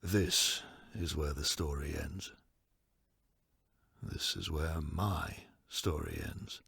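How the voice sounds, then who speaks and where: An actor playing a very old, lonely man who speaks in a halting, wistful and resigned way in an audio drama. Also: very deep voice, fairly even intonation, vocal fry, a bit bright, husky, dry recording, heavily acted. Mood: weary, reflective. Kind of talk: audio drama character acting.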